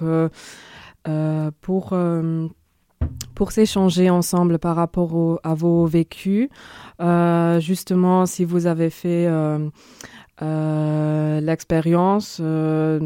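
A woman hosts a studio podcast in a low, unhurried voice. Her tone is smooth and clear, with a dry, close sound. She hesitates often and fills her pauses. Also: dark tone, no room sound. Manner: hesitant, many filler pauses. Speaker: host, social worker and youth educator. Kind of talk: podcast hosting.

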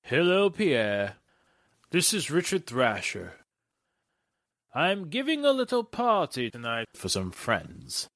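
A man acting a wealthy, imperious and fussy gentleman in a radio drama, with a pompous, haughty delivery. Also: on the high side, wildly swooping pitch, slow, dry recording, heavily acted. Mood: imperious, demanding. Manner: pompous, haughty, wheedling menace. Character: wealthy, imperious, fussy club owner demanding special treatment.

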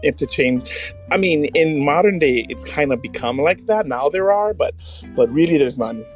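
Higher-pitched man, halting and casual. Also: lively intonation, soft background music. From Hawaii, United States. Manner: hesitant, halting phrasing. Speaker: podcast host. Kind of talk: casual podcast chat.

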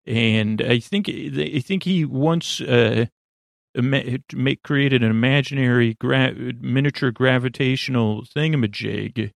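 Male sleep-podcast host, creaky and soothing, mid-pitched, wandering halting monologue, dry close recording. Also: no echo. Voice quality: creaky, lulling. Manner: meandering, halting, stammering asides. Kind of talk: rambling bedtime monologue.

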